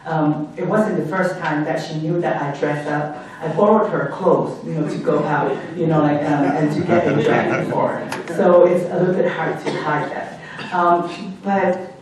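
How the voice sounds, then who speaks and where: A woman from Hong Kong telling her personal story as a guest speaker at an event, reflective and pausing often with ums. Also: low-pitched, a crowd in the background. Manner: reflective, with frequent ums and restarts. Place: event hall. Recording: echoey hall from far off.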